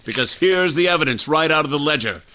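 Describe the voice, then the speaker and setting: A man's voice: on the high side, lively intonation, fast, sharp tone. A man playing a stern older father and banker in 1940s radio drama style, blunt, folksy and indignant. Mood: righteous anger. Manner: folksy, accusing.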